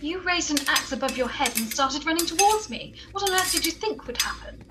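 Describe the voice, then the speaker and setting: A woman playing a cool, brisk, tough ally in a comedy radio play, deadpan and commanding. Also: high-pitched, very noisy.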